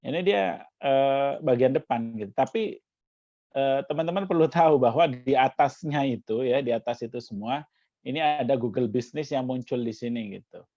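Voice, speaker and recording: A man's voice: mid-range voice, moderate pace, dark tone, no echo, highs cut off. A man from Jakarta coaching a community workshop over a video call, explaining step by step with frequent "ya" tags. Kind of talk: online workshop demo.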